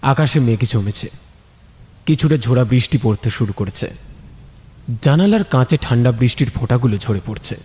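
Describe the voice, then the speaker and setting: A man's voice: medium pitch, animated, normal speed, pauses often, mellow. A man giving dramatic scripted narration of a detective story. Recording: long reverb, music underneath.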